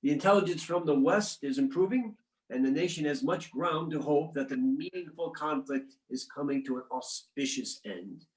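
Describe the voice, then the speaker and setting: A man reading as a 19th-century statesman writing solemn letters to his wife, in a formal, measured and occasionally halting delivery. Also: fairly high pitch, lightly acted. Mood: grave, resolute. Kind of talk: dramatic reading of historical letters. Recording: echoey, roomy sound.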